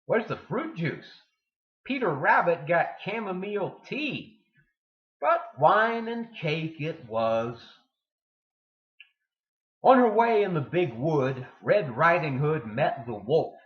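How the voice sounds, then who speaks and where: A man giving a dramatic poetry reading with deliberate, theatrical delivery and emphatic pauses. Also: a little high, big pitch swings. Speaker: poetry reader. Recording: dull lo-fi sound in echoey room.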